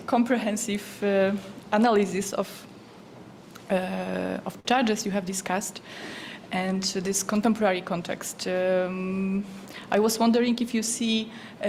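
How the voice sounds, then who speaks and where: A woman whose first language is Polish, asking a formal question at an academic workshop, pausing carefully with fillers. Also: mid-range voice, darkish tone, slight background noise. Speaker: workshop participant.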